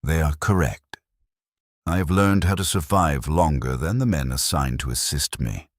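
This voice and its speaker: Stoic veteran soldier for a war audiobook, played by a male narrator, bottomless low register, precise and fatalistic. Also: dry recording, studio quality. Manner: flat, precise, deliberate. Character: battle-worn male heavy gunner, flat, stoic, fatalistic. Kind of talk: character dialogue in military sci-fi story narration.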